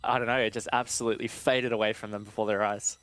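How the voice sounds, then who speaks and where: A man's voice: on the low side, level tone, fast talker, fairly bright tone, smooth. A man giving conversational live analysis as an esports caster, in an informal way with casual asides.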